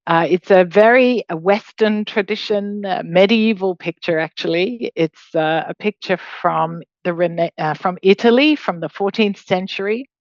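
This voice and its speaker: A woman's voice: medium pitch, normal speed, few pauses, dry recording, highs cut off. A middle-aged Australian woman giving an academic webinar lecture in a conversational, explanatory way, with hedging asides. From Australia, raised in Hong Kong. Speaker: law professor, guest lecturer.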